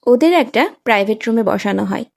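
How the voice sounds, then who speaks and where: Creaky high-voiced woman narrating a story. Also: expressive, brisk. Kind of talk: scripted fan fiction story narration. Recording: music behind the voice.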